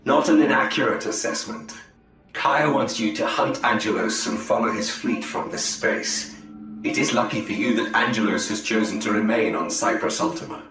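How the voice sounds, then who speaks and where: An actor playing a cool, composed alien elf spymaster in a video game, speaking formally and measuredly. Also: male voice, lowish voice, normal speed, a bit bright, natural voice. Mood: cool authoritative. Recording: echoey with faint music.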